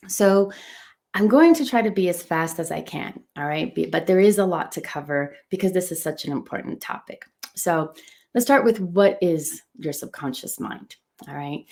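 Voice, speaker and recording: A middle-aged woman, Portuguese by first language, coaches her listeners through a motivational lesson. Her voice sits a little low and her delivery is eager and emphatic, doubling words to drive points home. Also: darkish tone. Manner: enthusiastic, emphatic, repeats words for stress. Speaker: coach and run club business owner. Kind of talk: teaching a motivational online lesson.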